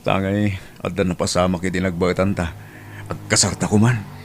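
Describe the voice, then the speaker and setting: A man's voice: deep voice, little pitch movement, brisk, faint music behind. A man with an Ilocano accent playing a smitten suitor courting his sweetheart in an amateur comedy drama. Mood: coaxing.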